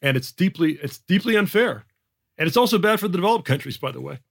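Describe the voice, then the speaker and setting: A man from Jamaica with an American accent, a guest explaining his views in an animated interview, often repeating words as he restarts. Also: fast, vocal fry. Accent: General American. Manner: animated, repeats words when restarting, rises in pitch for emphasis. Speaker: guest author. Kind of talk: conversational interview.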